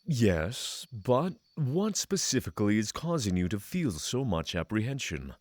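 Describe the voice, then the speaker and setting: A solemn dragon lord of fantasy audio drama, played by a man, speaks in a lowish, widely inflected voice. His diction is formal and courteous, and the recording is close and dry. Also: very animated, a little mellow, no echo. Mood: concerned. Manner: formal, measured, thoughtful diction.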